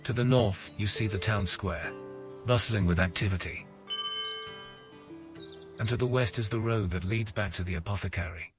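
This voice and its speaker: A man giving scripted narration for a fantasy audio game in a measured storytelling manner. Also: on the low side. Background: music.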